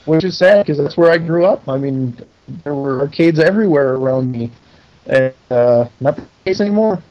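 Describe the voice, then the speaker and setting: A man's voice: on the high side, normal speed, lo-fi sound. A middle-aged American man chatting as an interview guest, plain-spoken and folksy. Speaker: podcast guest, pinball maker. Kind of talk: casual interview chat.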